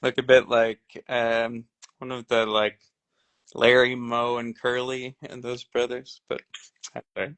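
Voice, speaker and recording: Man, mid pitch, tired, relaxed, rambling, filler words. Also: a little slow, clean tone. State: worn out. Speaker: guest advocate. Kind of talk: casual live stream chat.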